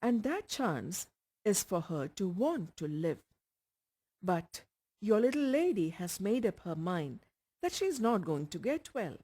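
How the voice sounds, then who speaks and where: A woman voicing a busy male doctor, frank and measured, with deliberate pauses. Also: medium pitch, very animated, dark tone, breathy edge.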